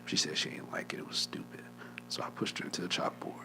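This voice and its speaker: A young man co-hosting a podcast, telling a story in a casual chat, often dropping to a near whisper and laughing. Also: quick pace. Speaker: podcast co-host.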